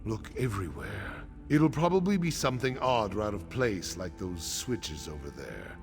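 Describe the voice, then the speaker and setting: An actor playing a grim, resolute orc clan chief in a fantasy video game, gruff and solemn with emphatic delivery. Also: male voice, lowish voice, big pitch swings, moderate pace, over music. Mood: gruff, exasperated. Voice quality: gravelly.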